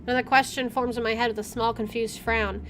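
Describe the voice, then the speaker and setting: Higher-voiced woman reading a game aloud. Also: level tone, quick pace, a bit bright, a little hiss or hum. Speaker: gaming YouTuber. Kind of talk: let's play, reading story text aloud with casual asides. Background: game music.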